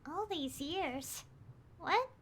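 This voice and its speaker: A woman's voice: very high voice, expressive, very slow, a bit bright, a little hiss or hum, full character voice. A woman playing a young, earnest and determined traveler hero in an anime game. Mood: questioning.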